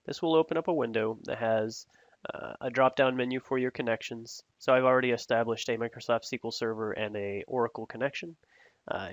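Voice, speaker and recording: Man walking through a software demo. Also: mid-range voice, no echo. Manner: steady step-by-step walkthrough with frequent uh fillers. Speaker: product technologist giving a software demo. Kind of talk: screen-recorded software tutorial.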